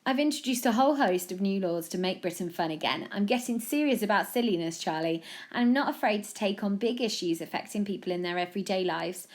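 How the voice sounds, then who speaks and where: A woman's voice: mid-range voice, lively intonation, fast, lightly acted. A woman voicing a cheerful young male prime minister in a children's story, breezy, confident and earnestly silly.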